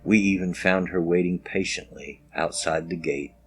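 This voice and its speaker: A man's voice: deep voice, fairly even intonation, moderate pace. A man narrating first-person scary stories as a storyteller. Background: music.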